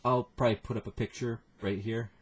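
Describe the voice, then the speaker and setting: A man hosting a reaction video with casual, halting, self-deprecating commentary in a husky voice. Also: on the low side, level tone.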